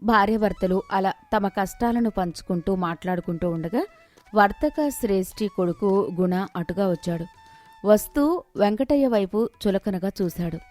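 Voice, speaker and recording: A woman's voice: mid-range voice, lively intonation, moderate pace, a little mellow. Woman narrating a moral story over music.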